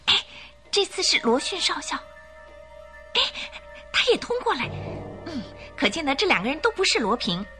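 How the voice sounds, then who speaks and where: High bright young lady, excitable, curious, tender, expressive radio drama delivery, lively intonation. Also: moderate pace, pauses often, faint music behind, exaggerated voice. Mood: excited, intent. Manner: emotive, expressive. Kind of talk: Mandarin radio drama acting.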